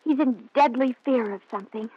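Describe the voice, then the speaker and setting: Casting: pleading woman in her early twenties, higher register, 1940s radio drama sound. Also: brisk, slightly rough. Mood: anxious, pleading. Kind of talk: 1940s radio thriller acting. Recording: muffled 1940s radio recording.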